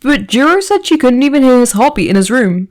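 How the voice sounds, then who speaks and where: An earnest, hearty teenage boy put on by a woman reading a story, pitched a bit high. He sounds sincere and protesting, like a loyal classmate. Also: big pitch swings, a little fast.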